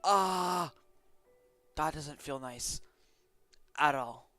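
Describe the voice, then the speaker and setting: A man's voice: a little high, drawn-out words, sharp tone, no room sound, soft background music. A man giving casual, offhand commentary while playing a video game.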